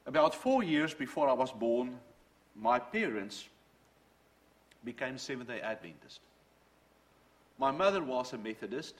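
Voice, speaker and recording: Earnest middle-aged preacher, lively pitch. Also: male voice. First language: Dutch. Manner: earnest, storytelling, quotes from books. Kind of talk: sermon with personal testimony.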